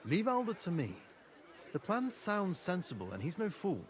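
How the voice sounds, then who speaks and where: A man's voice: sits high, wildly swooping pitch, normal speed. An actor playing a dutiful, proud young nobleman, a younger son, speaking formally and earnestly in medieval game dialogue. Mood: reassuring.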